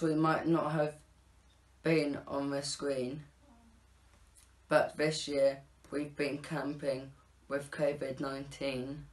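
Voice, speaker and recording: A teenage male narrator reading a rhyming storybook script aloud, a little haltingly. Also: on the high side. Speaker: narrator of a Scouts pantomime. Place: living room at home, indoors. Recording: roomy echo, faint background noise.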